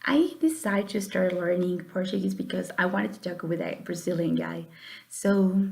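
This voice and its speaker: Young woman, vocal fry. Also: fairly low pitch. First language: Spanish. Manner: earnest, encouraging, somewhat halting with restarts. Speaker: student giving a class speech. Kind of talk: prepared personal speech.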